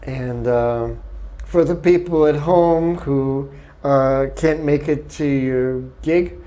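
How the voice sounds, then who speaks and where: A man's voice: a little high, fairly even intonation, slow pace, warm tone. A man interviewing a musician, asking brief, polite questions in careful English. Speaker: festival interviewer.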